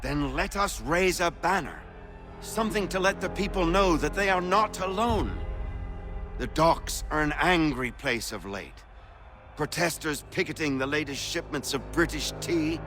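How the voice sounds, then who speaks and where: An actor playing a fervent, persuasive colonial American patriot politician with formal, rhetorical speech in a video game. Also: male voice, a little high, animated, a little slow, a bit bright. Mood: rallying, scheming. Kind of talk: dramatic game acting in period speech. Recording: music behind the voice. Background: music.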